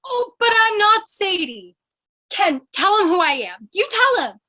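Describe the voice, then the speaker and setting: Woman acting an excitable high-voiced girl reporter. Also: measured pace, heavily acted. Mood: alarmed, pleading. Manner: breathless, urgent, dramatic exclamations. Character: excitable, melodramatic young female newspaper reporter. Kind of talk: old-time radio comedy thriller acting.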